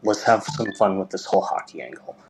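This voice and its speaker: A man's voice: low voice, expressive, fairly quick, gravelly, darkish tone, faint music behind, poor audio. A man leaving a casual, rambling message as a caller to a show.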